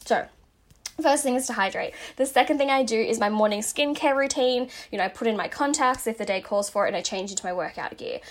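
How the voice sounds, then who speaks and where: Chatty woman, slightly higher voice. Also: fast. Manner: chatty, rambling, many filler "like"s. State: slightly losing her voice. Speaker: podcast host. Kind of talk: casual self-help talk.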